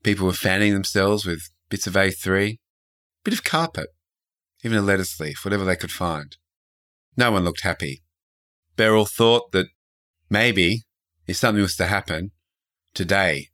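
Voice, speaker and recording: A man's voice: low voice, normal speed, pauses often, bright, forceful tone. A man hosting a podcast in a casual, playful way, chatting and improvising stories. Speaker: podcast host. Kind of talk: casual chat and improvised storytelling.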